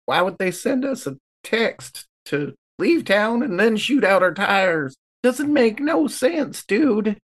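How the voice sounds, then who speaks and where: A woman's voice: mid-range voice, sing-song, takes it slowly, few pauses. A woman playing a tabletop game, chatting casually and loosely in slang. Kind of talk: casual improvised chat.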